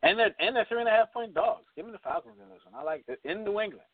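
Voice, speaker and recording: A hoarse man hosts a casual sports talk show, jumping between picks with stumbling restarts. His voice sits a touch high. The sound is dry and tinny, like a phone line. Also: lively intonation, racing, dry recording.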